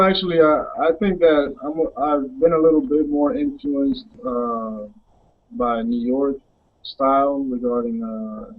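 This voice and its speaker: Halting man from the Dominican Republic. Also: fairly high pitch, phone-quality sound. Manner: halting, many "uh" fillers. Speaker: music producer guest. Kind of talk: casual interview answers.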